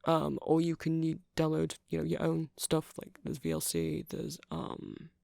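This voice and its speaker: A man's voice: fairly high pitch, measured pace, gravelly, no echo, studio quality. A man playing a nerdy vampire who keeps a music shop, rambling eagerly and apologetically in a casual ASMR roleplay. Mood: eager, helpful. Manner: hesitant, apologetic, excitable rambling.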